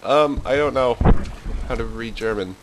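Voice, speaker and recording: A man's voice: medium pitch, unhurried, background noise. A young man hosting a gaming video, commenting nervously as he plays, swearing and laughing nervously, yelping when startled. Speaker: gaming channel host. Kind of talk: let's play commentary.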